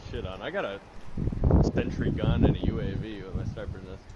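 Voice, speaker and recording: A man streaming a shooter game, chatting casually with friends, loud and exasperated, swearing often. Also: lowish voice, fairly quick, dull audio, very noisy. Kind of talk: casual gaming chat with friends. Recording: gunfire from the game behind.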